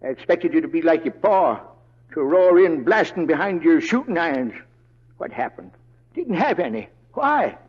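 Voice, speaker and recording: An actor playing an excitable, blustery Western town sheriff with a raspy voice and folksy drawl in an old radio drama. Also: male voice, a little high, moderate pace. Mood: dejected, scolding. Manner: folksy frontier drawl, exclamatory. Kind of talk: 1930s-40s radio acting. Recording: muffled old radio broadcast, audience noise.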